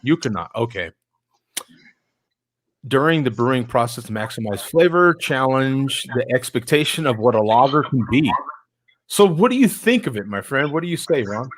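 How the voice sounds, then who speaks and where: A man's voice: mid-range voice, lively intonation, moderate pace, dry recording, low-quality recording. A man hosting a casual beer chat on a video call, reading a beer description aloud with small stumbles. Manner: friendly, reads text aloud with stumbles.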